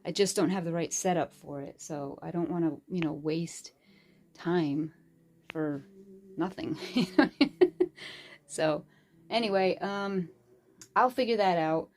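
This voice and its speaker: Hoarse woman rambling through a craft in her cramped bedroom, lowish voice, lots of ums. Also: measured pace, pauses often, a little mellow. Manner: rambling and chatty, with frequent fillers. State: hoarse with a sore throat. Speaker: crafting channel host. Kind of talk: casual talk-through craft tutorial. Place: small cluttered bedroom craft corner, indoors. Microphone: phone camera.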